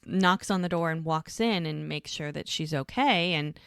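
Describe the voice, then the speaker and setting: Woman, podcast co-host, casual recap, acts out dialogue, low voice, dry sound. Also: normal speed, creaky, smooth, dry recording. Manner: animated retelling, acts out characters' lines. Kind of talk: casual rewatch recap chat.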